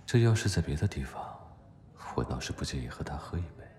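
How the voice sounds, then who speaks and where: A man's voice: extremely low pitch, big pitch swings, fast talker, a little mellow, slight background noise. A man playing a curt, stern but privately flirtatious doctor in an audio drama, with a cool, sardonic manner. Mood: amused, intrigued.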